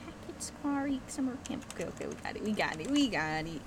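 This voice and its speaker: An excitable young woman narrating her gameplay, her pitch leaping all over as she reacts. She talks into a headset with faint background noise. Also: fast. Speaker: streamer. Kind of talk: casual gameplay commentary.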